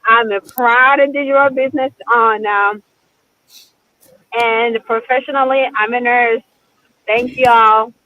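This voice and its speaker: A woman's voice: a little high, steady tone, a little slow, long pauses, pushed and bright, no echo. A woman from Burundi, a nurse, introducing herself cheerfully while driving. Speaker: nurse, guest on the call. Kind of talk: casual self-introduction. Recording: thin phone-like line, dry.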